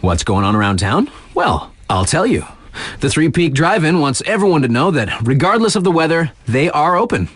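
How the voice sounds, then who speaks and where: A man's voice: fairly high pitch, very animated, moderate pace, a bit bright, slightly rough, no room sound, exaggerated voice. A man playing a laid-back, wisecracking radio DJ in a video game, reading local bulletins in a casual, mock-serious way. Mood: breezy, mock-serious. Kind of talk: breezy radio news bulletin, ad-libbed asides.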